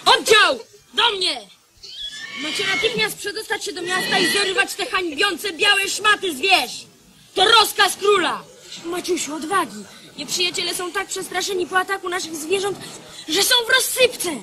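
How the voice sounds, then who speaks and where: A woman playing a loyal, fiery young boy soldier in a radio drama, excited and fervent. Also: very high voice, normal speed, slight background noise. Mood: fierce, urgent.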